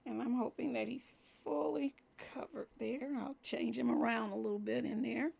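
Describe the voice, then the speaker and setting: A woman hosting a crafting tutorial in a relaxed, chatty way, explaining each step as she works, her voice scratchy from a cold. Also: a little high, moderate pace, creaky, breathy edge. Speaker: crafting channel host. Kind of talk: casual crafting tutorial talk.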